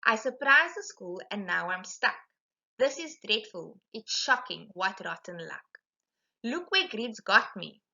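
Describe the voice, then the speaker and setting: A woman's voice: mid-range voice, big pitch swings, unhurried, gravelly, pushed and bright, highs cut off, heavily acted. A woman voicing a proud, dramatic female shark in a storybook, theatrical and exclaiming. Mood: distraught.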